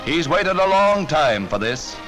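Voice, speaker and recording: High-pitched American 1940s newsreel man over loud music. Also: sing-song, a little slow. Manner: urgent, dramatic, declamatory. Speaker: newsreel narrator. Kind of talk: 1940s newsreel narration, scripted.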